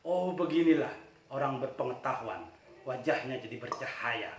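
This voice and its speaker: A man's voice: medium pitch, expressive, moderate pace, lo-fi sound, very noisy. A man telling a folk tale in a dramatic, playful way. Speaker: storyteller. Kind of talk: animated oral folk storytelling. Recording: echoey room, distant from mic.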